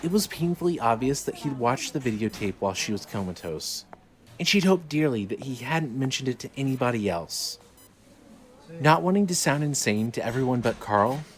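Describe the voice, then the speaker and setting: Male dramatic narrator, medium pitch. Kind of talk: scripted dramatic story narration. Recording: light noise, ambience behind.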